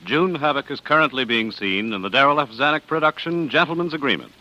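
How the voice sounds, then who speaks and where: Very bright, forceful 1940s radio announcer. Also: male voice, mid-range voice, lively intonation, quick pace, dull audio. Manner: formal, crisply enunciated, emphatic.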